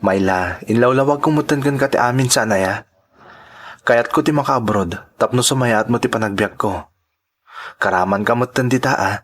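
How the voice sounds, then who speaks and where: A man's voice: mid-range voice, normal speed, a bit bright, natural voice. A man with an Ilocano accent playing an earnest, devoted young son from a poor family in a radio drama. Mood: earnest, determined.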